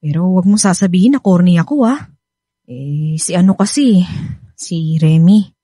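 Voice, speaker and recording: An actress playing a devoted, motherly middle-aged nanny with a Filipino accent in a radio drama, husky and shyly confiding. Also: on the low side, animated, moderate pace, warm tone, dry recording, clean studio sound. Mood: wistful, resigned.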